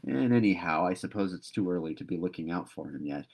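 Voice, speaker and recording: A man's voice: sits low, expressive, quick pace, vocal fry, darkish tone, slightly rough, voice pushed down, heavily acted. A man voicing a talkative, dreamy young girl in a storybook read-aloud, earnest and gushing. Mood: wistful, excited.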